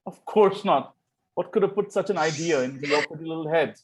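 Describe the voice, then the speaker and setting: Lightly high pitch, man as breezy young gentleman, flippant, glib, amateur table read. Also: brisk, slight background noise, close to an everyday voice, low-quality recording. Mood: affectionate, startled.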